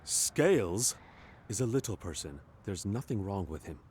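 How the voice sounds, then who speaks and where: A man's voice: deep voice, sing-song, normal speed, a little mellow, slight background noise. A man playing an earnest, wry starship captain in a comic sci-fi audio play, commanding yet reasonable. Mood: dry, patient.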